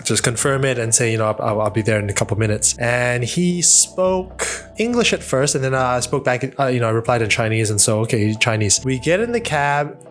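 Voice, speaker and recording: Animated man rambling through a podcast story. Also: medium pitch, fairly quick, faint music behind. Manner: animated, rambling, laughs at his own stories. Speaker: podcast host. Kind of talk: casual solo podcast storytelling. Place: home studio with wooden wall. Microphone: studio mic on boom arm, headphones.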